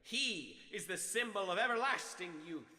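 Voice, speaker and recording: An actor playing a zealous youth leader giving a declamatory, fervent speech at a mass rally in a radio drama. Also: male voice, high voice, expressive, moderate pace, bright, forceful tone, heavily acted. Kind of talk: old-time radio drama oratory. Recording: long hall reverb on the voice.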